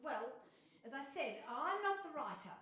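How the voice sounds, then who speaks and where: A woman plays a radio play narrator on stage. Her voice is high and lilts widely, yet her wit is dry and matter-of-fact. It sounds very echoey. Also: big pitch swings, normal speed, pushed and bright, heavily acted. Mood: dry, unhelpful. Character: dry, matter-of-fact storyteller bantering with characters. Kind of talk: live radio theatre narration. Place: on stage in a hall before an audience. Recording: very echoey hall, distant mic, noise.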